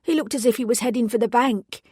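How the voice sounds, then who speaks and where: A woman voicing an elderly female pixie witness with a London accent, speaking high and breathy. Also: fast talker, darkish tone, dry recording, studio quality. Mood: shaken.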